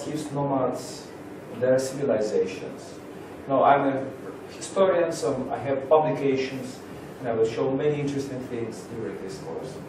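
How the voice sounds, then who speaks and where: A Russian man speaking English as a second language, giving a halting seminar introduction with many pauses. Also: medium pitch, background noise. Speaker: lecturer. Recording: roomy echo from far off.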